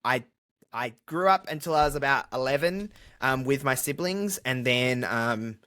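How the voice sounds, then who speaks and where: A man's voice: mid-range voice, lively intonation, normal speed, a bit bright. A man with an Australian accent talking candidly and casually as a podcast guest, with a jokey, self-deprecating streak. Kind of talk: casual conversational interview.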